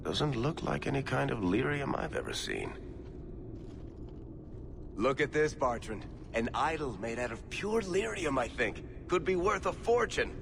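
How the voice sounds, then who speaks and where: An actor playing a wry, wary, profit-minded dwarf adventurer in a video game, with an understated way of talking. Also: male voice, mid-range voice, big pitch swings, unhurried, gravelly, background noise. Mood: intrigued.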